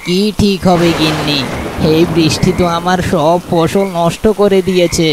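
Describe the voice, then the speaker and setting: A man playing a poor, anxious village farmer and family man in a plaintive, lamenting way for an animated moral cartoon. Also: on the high side, moderate pace, noisy background, natural voice. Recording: music behind the voice.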